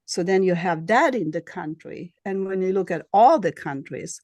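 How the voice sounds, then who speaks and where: A woman with a Swedish accent, a healer being interviewed, who speaks in a halting way and searches for her words. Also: lowish voice, expressive, darkish tone, no room sound. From Sweden. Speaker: guest, shamanic healer and astrologer. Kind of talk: casual interview.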